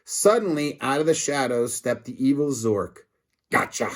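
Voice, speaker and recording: A man reading a story aloud to children in an expressive, dramatic way, adding casual asides. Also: medium pitch, normal speed. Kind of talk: animated read-aloud narration with asides.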